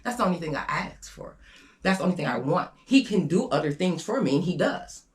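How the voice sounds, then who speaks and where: A woman's voice: lowish voice, lively intonation, a little fast. A woman in her fifties chatting casually and candidly, with a blunt, unapologetic and emphatic way of talking. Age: 50s.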